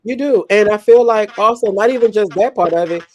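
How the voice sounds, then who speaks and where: A woman's voice: medium pitch, a little fast, darkish tone. A woman in her forties, co-hosting a podcast, talking frankly and emphatically and repeating herself to make a point. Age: 40s. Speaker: podcast co-host. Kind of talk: casual chat.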